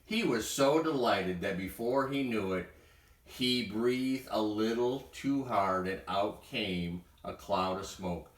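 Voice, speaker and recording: A man reading a children's bedtime story aloud in an expressive storytelling style. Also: on the low side, slow. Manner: expressive, acts out sound words. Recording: roomy echo, distant from mic.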